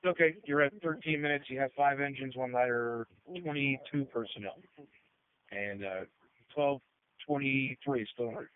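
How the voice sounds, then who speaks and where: A man's voice: medium pitch, normal speed. A man working as a fire dispatcher, giving clipped, procedural readbacks over two-way radio. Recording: tinny narrowband radio transmission.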